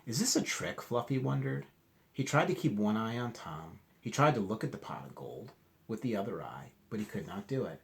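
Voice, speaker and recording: Animated man reading to children, creaky voice. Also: on the low side, normal speed. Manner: expressive, addresses young listeners directly with questions. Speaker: children's storybook reader, teacher. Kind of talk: animated read-aloud with chatty asides to children.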